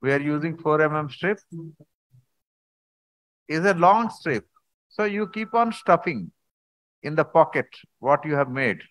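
A man, a surgeon, explaining surgical video clips in a halting conference talk over a video call. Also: a little high, animated, fairly bright tone, no echo. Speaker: surgeon presenting cases.

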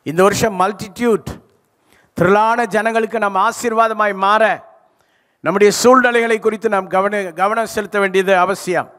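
A man's voice: high voice, fairly even intonation, a little slow, a bit bright. An older male pastor preaching a sermon into a handheld microphone, stretching key words and calling for answers from the congregation. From South India. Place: large church stage. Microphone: handheld mic. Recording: live church hall reverb.

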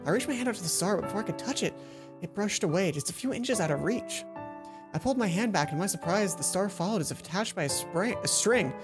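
A young man hosts a let's play with game music underneath. He reads visual novel narration aloud and cuts in with expressive, dramatic reactions of his own. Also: high voice, fast talker. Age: young adult.

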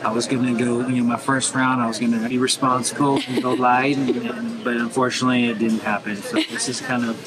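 A man's voice: medium pitch, flat delivery, fairly quick, hardly any pauses, over a noisy crowd, low-quality recording. A young man talking casually and jokingly for a travel vlog, picked up by the camera's mic. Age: young adult. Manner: joking, informal. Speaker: travel vlogger. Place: outdoors on trails, also restaurant booth.

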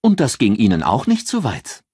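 Audiobook role: male reader as self-assured businesswoman, taunting drawl, raised pitch, animated intonation. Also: moderate pace, husky, no room sound, studio quality. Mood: taunting, frank. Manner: drawling, ironic, frank and taunting. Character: cool, ironic, self-assured career woman.

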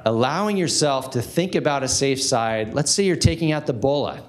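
A man's voice: mid-range voice, moderate pace. Man moderating a medical panel. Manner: energetic, gestures while talking. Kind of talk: conference panel talk. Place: conference room with audience. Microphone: handheld mic.

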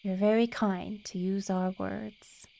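A woman voicing a grave, gentle male mage automaton in a video game, soft-spoken and measured. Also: mid-range voice, flat delivery, vocal fry. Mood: gentle, somber. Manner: measured, solemn.

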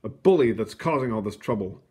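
A man voicing a self-assured early twentieth-century astronomer with declamatory, drawn-out emphasis, for a children's storybook. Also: on the low side, expressive, mellow, natural voice. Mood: pondering.

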